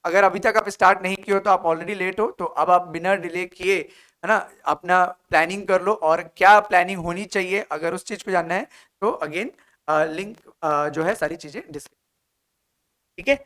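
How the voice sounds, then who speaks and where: A man's voice: high voice, normal speed, fairly bright tone. An Indian man teaching as an exam coach, explaining in an instructive way and often checking in with short tag questions. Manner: instructive, frequent check-in tags like "okay?" and "right?". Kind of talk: explanatory exam-prep lecture, Hindi mixed with English.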